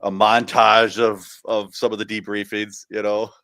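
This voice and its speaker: A man's voice: fairly low pitch, little pitch movement, unhurried, bright, forceful tone, no room sound. A man co-hosting a podcast in casual chat, rambling with many fillers and restarts. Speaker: podcast co-host.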